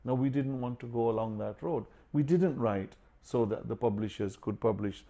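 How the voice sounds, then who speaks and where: A man's voice: mid-range voice, normal speed, creaky, warm tone. A man born in Pakistan, an author and publisher, speaking earnestly and outspokenly in an interview. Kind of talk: reflective interview talk.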